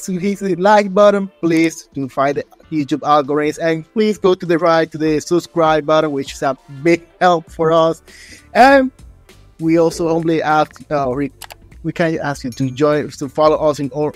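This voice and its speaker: A man's voice: a little high, darkish tone. A man in his thirties hosting a casual online interview, effusive, jokey and rambling, close on a desk microphone. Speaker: interview host. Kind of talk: casual hosting, channel plugs. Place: indoor room with streaming setup. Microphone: desk microphone, close, with headset.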